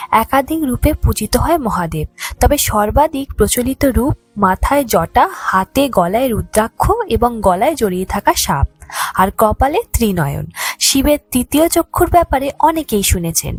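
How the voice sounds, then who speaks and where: A woman's voice: fairly high pitch, breathy edge, dry recording. A woman reading a scripted mythological tale in a formal, literary storytelling manner. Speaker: storyteller narrator. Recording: background music under the voice. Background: music.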